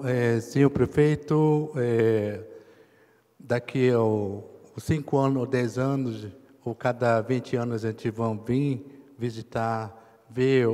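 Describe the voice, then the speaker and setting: Man from brazil, slow halting speech full of fillers, big echoey hall. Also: mid-range voice, warm tone. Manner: hesitant, many fillers, slow pauses. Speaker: chief's grandson receiving an honor. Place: ceremony hall. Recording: long reverb in a big hall.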